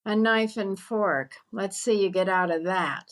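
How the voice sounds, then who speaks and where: An actress playing a dry, practical, gently needling female companion in a 1940s-style radio comedy. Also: medium pitch, measured pace, close to an everyday voice. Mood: wry, impatient. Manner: wry.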